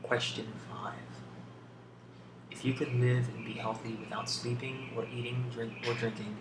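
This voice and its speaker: A man speaking as an ASMR video host, answering questions off the cuff in a hesitant, thoughtful way with long pauses. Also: mid-range voice, little pitch movement. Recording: roomy, distant, clearly noisy home recording.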